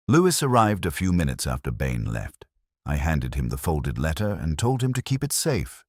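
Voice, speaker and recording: A man acting a melancholy detective looking back on a case in a radio play. The voice is extremely low and swings noticeably in pitch as the measured, literary narration unfolds. It is recorded close and dry, with a solemn, reflective delivery. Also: no echo, heavily acted. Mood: somber, reflective. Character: weary, melancholy older male detective narrating his case. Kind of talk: scripted first-person dramatic narration.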